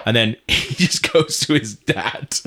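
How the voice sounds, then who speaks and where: A man's voice: medium pitch, animated, slow, pushed and bright, husky. A young man, chatting casually about a TV episode, swearing and laughing as he retells scenes. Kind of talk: casual chat reviewing a TV episode.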